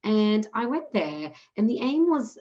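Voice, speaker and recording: A woman, a native Punjabi speaker raised in Australia, presenting her program in an online talk, earnest and fast-flowing with frequent self-corrections. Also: medium pitch, big pitch swings, lo-fi sound. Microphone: video call.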